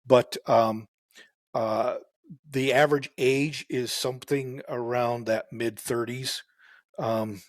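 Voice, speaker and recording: Farmer talking easily, dry close sound. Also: male voice, mid-range voice, fairly even intonation, dry recording. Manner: unhurried, many fillers and restarts. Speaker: farmer guest. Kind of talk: casual interview talk.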